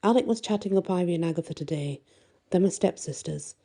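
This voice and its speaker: Woman acting storybook teen heroine, candid, dramatic, chatty, dark mellow tone, faint sheepishness. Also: lowish voice, brisk. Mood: sheepish, confiding. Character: teenage girl heroine with magic, wry, candid and dramatic.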